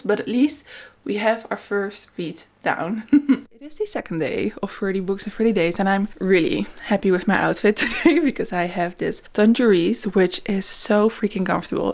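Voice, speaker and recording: A woman's voice: mid-range voice, normal speed, gravelly, darkish tone. Casual Dutch woman chatting in a vlog. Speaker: book vlogger.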